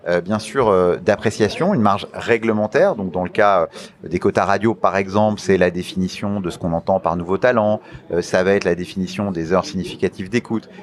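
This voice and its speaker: Male, French accent, lowish pitch. Institutional interviewee, deliberate, halting, explains carefully. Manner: formal, deliberate, hesitant phrasing with repeated words. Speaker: media regulator official being interviewed.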